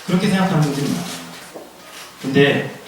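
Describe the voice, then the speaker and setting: A man with a cold, his voice hoarse, giving a forum talk and explaining plainly, repeating key words and asking the audience questions. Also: fairly high pitch, fast, slight background noise. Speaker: forum presenter. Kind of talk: public lecture. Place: forum room. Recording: echoey room, far from the mic.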